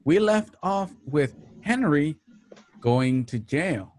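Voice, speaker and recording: A man's voice: a little high, animated, slow, darkish tone. A man hosting a show, delivering a scripted introduction in a presenterly, announcing manner. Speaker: show host. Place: at home.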